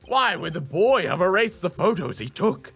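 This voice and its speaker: A man's voice: high voice, big pitch swings, normal speed, a bit bright, soft background music, heavily acted. Man playing a stern, pompous old judge. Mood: solemn, demanding. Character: stern elderly male courtroom judge, formal, puzzled.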